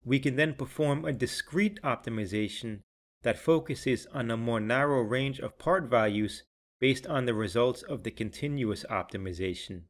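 A man reading scripted instructional narration in a measured, clearly enunciated, explanatory way. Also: mid-range voice. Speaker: software tutorial narrator.

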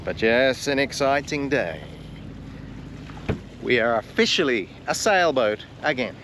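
Man, forties, casual boat vlog, handheld action cam, clear boat and water noise behind. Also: mid-range voice, very animated, a little slow, fairly bright tone. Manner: chatty, easygoing, thinking aloud with fillers. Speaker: sailing vlogger. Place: on a sailboat, on deck and in the cabin. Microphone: action camera held at arm's length. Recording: outdoor camera with boat and wind noise.

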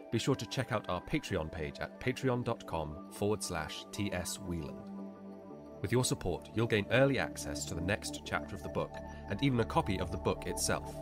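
Low-voiced man reading a promo. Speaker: voice actor giving a promotional message. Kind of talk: scripted promo read.

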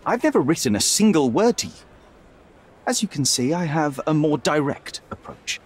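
Casting: young detective in a video game, slightly high voice, animated inflection, cold, contemptuous, formal. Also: male voice, moderate pace, husky. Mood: disgusted, cold. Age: young adult. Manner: accusatory, clipped, formal, sardonic. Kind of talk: video game cutscene acting.

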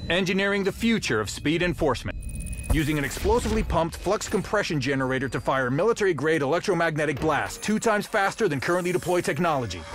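A man playing a slick defense-technology company presenter, narrating scripted promotional lines in a measured, dramatic way for a racing game. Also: on the high side, lightly acted. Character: slick defense-technology corporation presenter unveiling police hardware.

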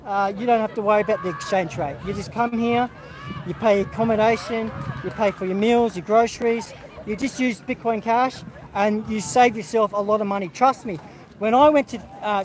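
A man's voice: high-pitched, poor audio. An Australian man of middle age chatting casually on a livestream at an outdoor football carnival, rambling with many fillers and restarts as he greets viewers. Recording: crowd noise behind.

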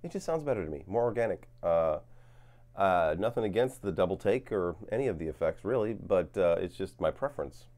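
Very deep-voiced man with a lingering cough. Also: brisk. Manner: relaxed, rambling, frequent fillers. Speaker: guitar channel host. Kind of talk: casual gear demo talk. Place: home studio with guitars on wall.

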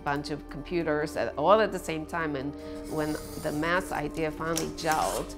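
A woman's voice: sits low, moderate pace, over music. A woman from Taiwan, an artist talking reflectively about her own work in a documentary interview. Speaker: visual artist.

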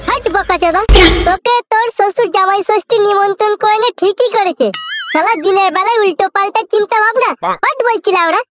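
Guy doing a super high squeaky village lad, crude cartoon scold, music behind him. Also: fairly quick. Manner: crude, chiding slang. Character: scolding young Bengali village son-in-law, foul-mouthed. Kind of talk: comic cartoon dubbing.

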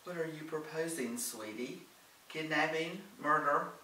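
Male narrator as affectionate sensible woman, storybook character, wry, cautioning, bright, distant mic. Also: on the high side, a little slow, roomy sound.

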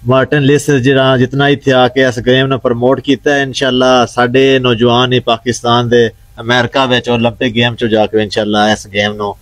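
A man answers in a steady, measured way, his voice mid-pitched and very even in tone. The recording outdoors is dry. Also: dry recording. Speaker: stadium owner. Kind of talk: interview answers. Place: outdoor lawn at night.

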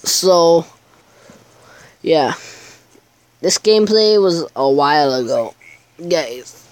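Teenage boy, gaming vlog commentary, hesitant, unhurried delivery, slightly high voice. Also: expressive, clear, resonant tone, dry recording. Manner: rambling, hesitant, many fillers. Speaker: gaming YouTuber. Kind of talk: casual gameplay commentary.

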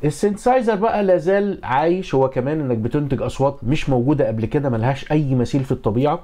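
A man's voice: medium pitch, rapid-fire, warm tone. A middle-aged man with an Egyptian accent explaining in an informal, conversational tutorial style, posing rhetorical questions as an instructor. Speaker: music and audio engineering instructor. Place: small home music studio, indoors.

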